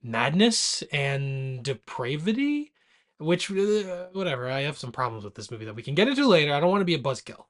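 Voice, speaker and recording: A man's voice: fairly high pitch, big pitch swings, brisk. A man co-hosting a podcast, chatting casually about movies in a dry, teasing way. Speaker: podcast co-host.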